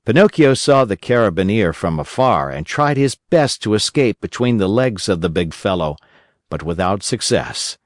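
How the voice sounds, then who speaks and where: A man reading a children's story as an audiobook narrator, in a gentle, expressive storytelling manner. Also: fairly low pitch, moderate pace, dry recording, studio quality.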